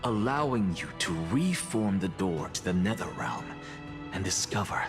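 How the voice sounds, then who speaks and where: An actor playing a confident, theatrical scholar-alchemist in a fantasy game, with eloquent, declamatory delivery. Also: male voice, lowish voice, lively intonation, normal speed, over music, lightly acted. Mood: lofty, instructive. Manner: declamatory, eloquent, self-assured. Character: confident, theatrical male scholar-alchemist revealing grand theories. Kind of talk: dramatic fantasy game voice acting.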